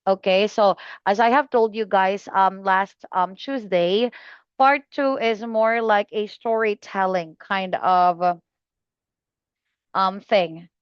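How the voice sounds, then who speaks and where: A woman tutoring English exam students, giving feedback slowly and patiently with many fillers and pauses. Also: medium pitch, clear, resonant tone, dry recording, lo-fi sound. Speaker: IELTS speaking tutor.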